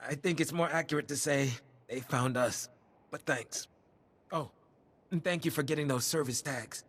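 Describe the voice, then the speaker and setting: A weary, husky wounded soldier. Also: male voice, a little high, fairly bright tone. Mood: grateful, subdued. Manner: halting, sighing, earnest military report. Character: weary wounded male soldier, grateful and earnest. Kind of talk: video game cutscene acting.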